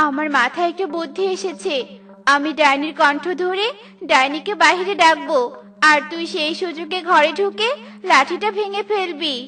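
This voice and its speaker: A woman's voice: very high-pitched, moderate pace, sharp tone, faint music behind. A woman voicing a caring, resolute mother bird in a children's cartoon, earnest and motherly. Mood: caring, determined.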